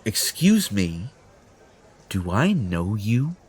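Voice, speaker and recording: A man's voice: fairly low pitch, very animated, very slow, darkish tone, breathy edge, full character voice. A man playing a smug, possessive, entitled superhero in an audio roleplay, pompous and condescending in manner. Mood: indignant, condescending. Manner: pompous, self-satisfied, condescending. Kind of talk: audio roleplay acting addressed to the listener.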